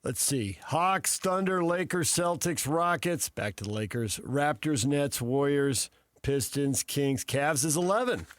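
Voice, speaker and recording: A man with a slightly high voice co-hosts a sports talk show. He talks in a casual, bantering way, recorded close and dry. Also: lively intonation, unhurried, dry recording. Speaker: sports radio co-host.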